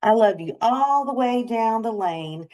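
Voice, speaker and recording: Woman voicing an eager, high-pitched little boy hare. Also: animated, a little slow, a little mellow. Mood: eager, loving. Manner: eager, childlike, earnest. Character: small, eager, affectionate young male hare. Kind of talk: storybook character voice.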